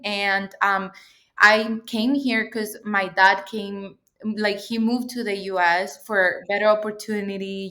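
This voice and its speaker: A woman's voice: medium pitch, pushed and bright. A woman in her twenties from El Salvador, a PhD student chatting as an interview guest, talking freely with many fillers and frequent laughs. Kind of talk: casual interview chat.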